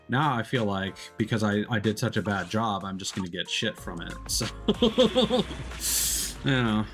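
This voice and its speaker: A man's voice: mid-range voice, fairly bright tone. A man giving casual live commentary on a video game, thinking aloud in a hesitant, rambling, self-deprecating way. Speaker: livestreamer playing a video game. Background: game music.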